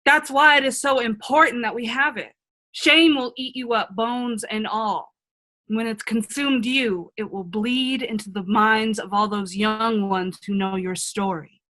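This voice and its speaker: A woman plays a wise older fairy-tale self speaking out of a magic mirror. Her voice is fairly high and very bright, and she counsels frankly, with earnest reassurance, in radio play style. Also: measured pace, rough recording. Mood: reassuring, urgent. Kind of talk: comedic radio play acting.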